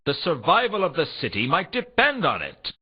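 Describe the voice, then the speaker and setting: An actor playing a world-weary, sardonic private detective in a noir video game, with a wry, deadpan, pressing delivery. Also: male voice, a little high, normal speed, breathy edge, exaggerated voice. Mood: pressuring, sly. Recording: dull, muffled old console game audio.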